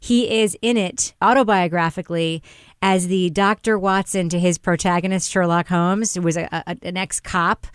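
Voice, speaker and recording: A woman's voice: lowish voice, steady tone, moderate pace, smooth, dry recording, studio quality. A woman hosting a casual panel chat, talking in an emphatic, effusive way. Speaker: podcast host.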